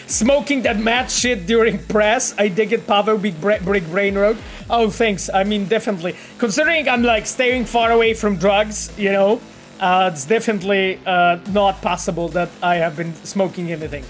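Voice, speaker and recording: A man's voice: high voice, moderate pace, faint music behind. A man with a Polish accent chatting casually on a live stream as he reads out viewer messages, rambling with lots of fillers and repeated words. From Poland. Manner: animated and rambling, with frequent fillers, repeats and laughs. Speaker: game developer streaming and reading chat.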